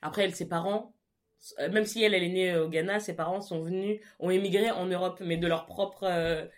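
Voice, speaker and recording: A woman's voice: on the low side, steady tone, fairly quick. A woman hosting a casual book chat, talking in a slangy, chatty way with many fillers and restarts. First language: French. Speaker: podcast host.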